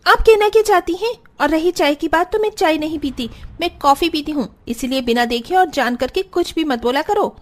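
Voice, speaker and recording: A woman's voice: high voice, brisk, hardly any pauses, close to an everyday voice. A woman voicing a spiteful, haughty young sister-in-law in a cartoon story, bossy and scornful. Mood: angry, scornful. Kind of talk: Hindi cartoon story dialogue.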